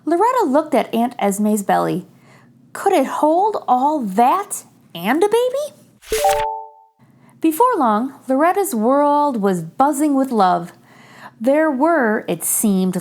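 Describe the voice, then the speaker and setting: A woman hosting a read-aloud for young children, telling a picture book in an expressive storytelling style with deliberate phrasing. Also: high voice. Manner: addresses young listeners directly, clear deliberate phrasing.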